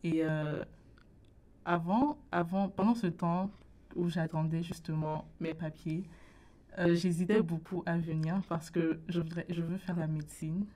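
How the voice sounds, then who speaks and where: Brief: teenage woman, very low, flat delivery, soft-spoken radio guest. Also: a little slow. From Burkina Faso. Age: late teens. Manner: soft-spoken, searches for words. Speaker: interview guest. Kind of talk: radio interview. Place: radio studio.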